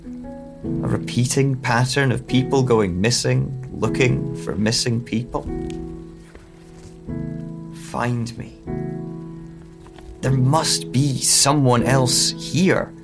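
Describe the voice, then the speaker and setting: A man's voice: medium pitch, takes it slowly, gravelly, long pauses, loud music behind the voice. An actor playing a troubled man with lost memory, musing alone in a hesitant, reflective audio drama monologue. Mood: searching, unsettled. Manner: hesitant, reflective, broken phrasing.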